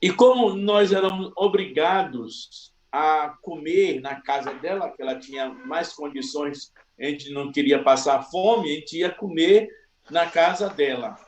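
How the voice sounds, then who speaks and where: A man from Salvador, a pastor giving a slow, humble personal testimony. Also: fairly high pitch, echoey room, slight background noise. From Salvador, Bahia, Brazil.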